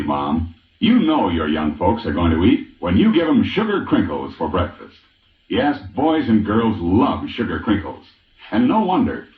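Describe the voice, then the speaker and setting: A man's voice: fairly low pitch, roomy sound, narrow, tinny audio. A man delivering a folksy, enthusiastic 1950s radio commercial as the announcer. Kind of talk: 1950s radio advertising pitch. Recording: boxy band-limited old radio recording, distant.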